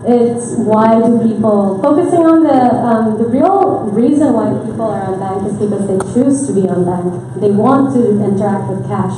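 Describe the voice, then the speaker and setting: A woman's voice: a little high, lively intonation, moderate pace, few pauses. A woman panelist speaking in an enthusiastic, conversational way. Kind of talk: panel discussion. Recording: echoey hall, distant, noisy.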